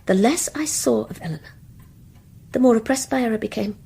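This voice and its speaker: A woman's voice: on the high side, brisk, vocal fry, mellow, breathy edge, exaggerated voice. An actress playing a brooding, obsessive married woman in a radio drama, confiding her grievances in intense, insistent, clipped sentences. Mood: resentful. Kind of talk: radio drama acting with first-person narration.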